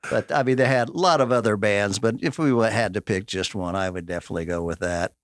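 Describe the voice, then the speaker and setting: A man in his sixties with a Midwestern American accent, a guitarist reminiscing in relaxed conversation. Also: on the low side, fast, no room sound, studio quality. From Kansas City area. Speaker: band guitarist. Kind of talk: casual chat. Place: radio studio.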